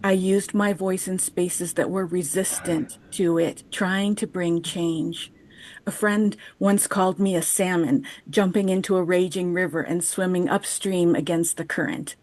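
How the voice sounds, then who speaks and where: A woman in her fifties giving a measured, poetic chapel reflection over a video call. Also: fairly low pitch, steady tone, a little mellow. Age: 50s. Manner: measured, poetic, unhurried delivery. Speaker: chapel speaker. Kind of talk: reflective personal chapel talk, read aloud. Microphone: video call microphone.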